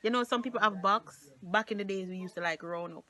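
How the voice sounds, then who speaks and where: A woman's voice: medium pitch, quick pace, a crowd in the background. A Jamaican woman in her twenties chatting casually as a vlog co-host, laughing often and slipping into Patois. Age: 20s. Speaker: YouTuber co-host. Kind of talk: casual chat.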